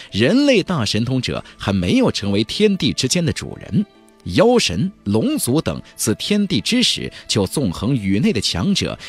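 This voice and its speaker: A man performs as an audiobook narrator of a fantasy tale. His delivery is theatrical and emphatic, with hugely varied pitch. The voice has a husky grain, and faint music plays behind it. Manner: theatrical, emphatic, with exclamatory flourishes. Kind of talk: dramatic scripted storytelling of a fantasy novel.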